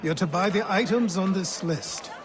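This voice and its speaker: An actor playing a weary, stern older mentor in a video game, measured and commanding as he instructs. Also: sits high, close to an everyday voice. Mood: stern, reflective. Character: weary, stern old mentor, worldly and guarded man. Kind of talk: video game cutscene acting. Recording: loud background music.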